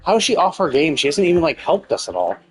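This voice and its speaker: A man's voice: on the high side, very animated, fast talker, faint music behind. A man commentating casually on a live game stream, thinking aloud in a hesitant, rambling way and repeating himself, with casual swearing.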